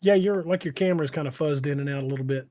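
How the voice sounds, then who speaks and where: A man casually hosting a livestream, reading out comments and chatting in a halting, hesitant way. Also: a little high, mellow, no room sound, studio quality. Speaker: podcast host and novelist. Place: indoors on an internet livestream video call.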